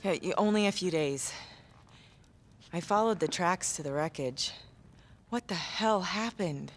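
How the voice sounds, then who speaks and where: A woman's voice: on the low side, a little slow. An actress playing an earnest, caring young woman, an adventurer's direct and persistent companion in a video game. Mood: concerned. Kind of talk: naturalistic video game cutscene acting. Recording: clear music under the dialogue.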